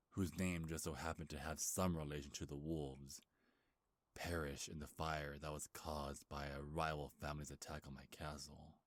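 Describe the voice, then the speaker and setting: A man's voice: very deep voice, dry recording. A man playing an ancient, bitter, grieving beast king in a dramatic ASMR roleplay, brooding and menacing with heavy sighs.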